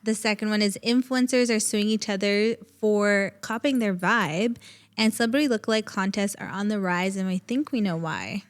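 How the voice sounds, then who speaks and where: A woman co-hosting a podcast in easy, casual conversation. She sounds bubbly, smiley and friendly, with a voice of ordinary middle pitch. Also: normal speed. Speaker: podcast co-host. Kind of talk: casual chat.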